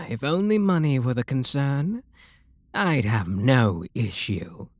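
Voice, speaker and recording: Slow, refined British vampire lord, played by a man. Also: medium pitch, sing-song, no room sound. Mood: weary disdain. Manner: soft, deliberate, genteel, flirtatious. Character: refined, wealthy male vampire lord, possessive and courteous. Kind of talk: ASMR roleplay monologue.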